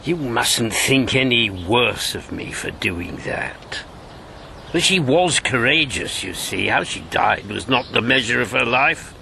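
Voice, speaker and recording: An actor playing a roguish, haunted old gentleman in a radio drama, with a gravelly voice and genteel old-fashioned slang. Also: mid-range voice, lively intonation, takes it slowly, heavily acted. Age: older. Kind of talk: broadcast radio drama acting.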